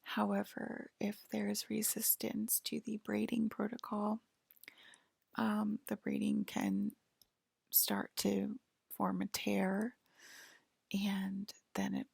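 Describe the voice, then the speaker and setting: A woman acting as a female alien abductor in an ASMR roleplay, whispering slowly and hesitantly. The voice is breathy and heavily crackled with fry. It keeps a soft, clinical, gently official manner throughout. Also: mid-range voice, flat delivery, no room sound, full character voice. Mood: calm, clinical.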